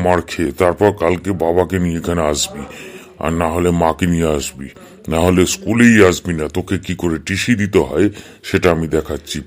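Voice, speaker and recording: A man's voice: low-pitched, darkish tone, close to an everyday voice. A man playing a stern, exasperated schoolteacher in a comedy cartoon, scolding and sarcastic. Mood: angry. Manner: scolding, sarcastic, drawn-out hums. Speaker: cartoon voice actor. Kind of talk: Bengali comedy cartoon dub.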